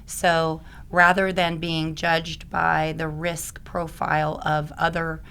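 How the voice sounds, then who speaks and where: A woman from Oklahoma, a guest on a podcast, speaks with a raspy, slightly hoarse voice. Her pitch sits low and stays fairly even. She explains things in a friendly, fluent way, dotted with ums. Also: slow. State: hoarse from allergies. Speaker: podcast guest, risk advisor and attorney. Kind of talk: conversational podcast interview.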